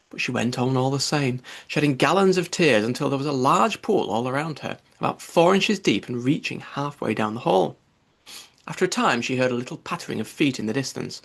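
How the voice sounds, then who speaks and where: A man reading a storybook aloud as an audiobook narrator, with expressive delivery. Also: medium pitch, brisk, gravelly.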